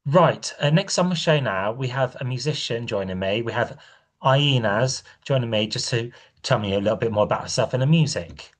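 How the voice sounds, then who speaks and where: A man's voice: medium pitch, normal speed. A man hosting a podcast interview, asking loose questions with plenty of fillers. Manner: relaxed questioning with many fillers. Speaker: podcast host.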